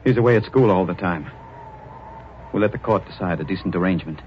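An actor playing a bitter, obsessive sculptor and menacing husband in a 1940s radio melodrama, intense and brooding. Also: male voice, sits low, quick pace, lightly acted. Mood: pleading, insistent. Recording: muffled, noisy old radio recording.

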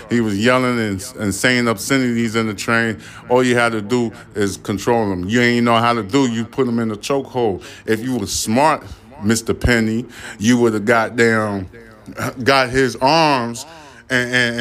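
A man's voice: medium pitch, fairly bright tone. A man hosting his own podcast, giving a blunt, profane, ranting opinion monologue. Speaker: podcast host.

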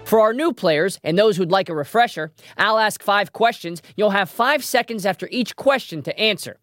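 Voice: mid-range voice, normal speed, a bit bright. A trivia show host presenting a scripted quiz in a playful way, with wry asides. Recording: music behind the voice.

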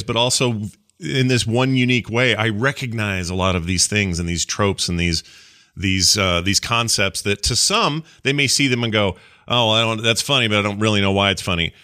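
A man's voice: lowish voice, moderate pace, bright, forceful tone. A man from the United States hosting an interview, speaking earnestly and at length in a casual, rambling way. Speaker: interviewer host.

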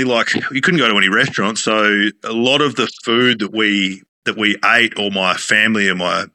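Man, chef guest, casual rambling talk, very bright tone, somewhat low pitch. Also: low-quality recording. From Australia. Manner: rambling, many fillers and restarts.

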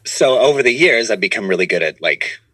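A man's voice: lowish voice, expressive, brisk, pushed and bright, no room sound. A man chatting casually as a podcast guest, rambling and often restarting his sentences, recorded in a home studio. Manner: rambling, self-correcting with repeated false starts. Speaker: podcast guest, improv comedian and producer. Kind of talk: casual interview chat.

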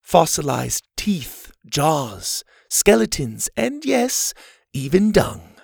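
A man's voice: on the high side, very animated, vocal fry, a little mellow, breathy edge, dry recording, clean studio sound, voice pushed up, heavily acted. A man doing a genteel older woman camp director. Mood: formal, welcoming. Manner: announcing, slow and formal.

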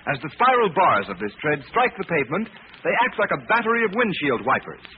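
A man's voice: on the high side, big pitch swings, fast, background noise. A male announcer reading a script emphatically in 1930s radio style, on a tinny old recording. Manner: emphatic, declamatory.

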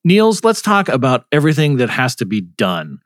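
A man's voice: medium pitch, wildly swooping pitch, normal speed, no echo, clean studio sound. A man hosting a conversational political news discussion, asking questions in a rambling, self-interrupting way. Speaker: host.

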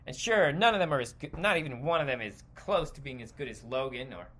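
A man hosting a podcast, delivering a casual, sweary, opinionated rant in a halting stream of consciousness, often repeating words for emphasis. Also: medium pitch, animated, a bit bright, slightly rough. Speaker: podcast host.

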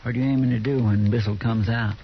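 A man acting out an eager frontier sidekick in an old radio western, speaking in a rustic drawl, earnest and quick to please. The old broadcast is lo-fi with clear hiss. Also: mid-range voice, steady tone, close to an everyday voice. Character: folksy, eager male deputy sidekick. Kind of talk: 1950s radio drama acting. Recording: noisy lo-fi 1950s radio broadcast.

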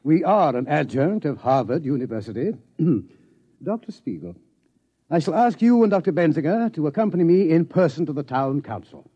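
An actor playing a dignified, formal observatory director with precise, stuffy speech in a vintage radio drama. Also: male voice, a little high, very animated, normal speed, mellow, slightly rough. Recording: dull, lo-fi old radio recording.

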